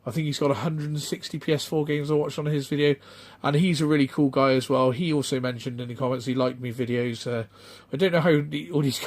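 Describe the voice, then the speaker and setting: A middle-aged man, chatting off the cuff in a rambling, halting way full of ums and restarts. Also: on the high side, a little mellow. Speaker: retro game collector vlogger. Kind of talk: casual off-the-cuff vlog chat.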